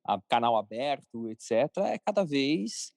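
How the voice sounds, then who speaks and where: A man's voice: mid-range voice, sing-song, normal speed, creaky, a little mellow, no room sound, clean studio sound. A man with a Brazilian accent answering interview questions in an informal, explanatory conversational way. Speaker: podcast guest, cartoon creator and entrepreneur.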